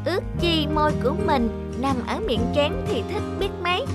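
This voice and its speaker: An actor voicing a young, naive, lovestruck teacup in an animated children's tale, with music underneath. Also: sits high, normal speed. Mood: dreamy longing.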